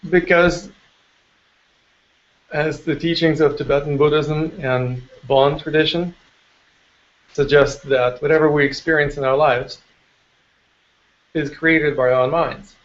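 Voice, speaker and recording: A man from eastern Ukraine addressing a meditation circle in a calm, measured way, pausing often with small fillers. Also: a little high, dull audio. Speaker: meditation teacher. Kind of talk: slow spoken address to a meditation group.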